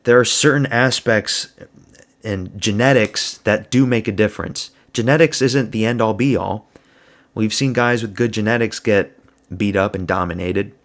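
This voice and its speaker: A man's voice: on the low side, unhurried. A man giving a blunt, crude, slang-heavy solo review of fight results. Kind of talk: casual solo commentary.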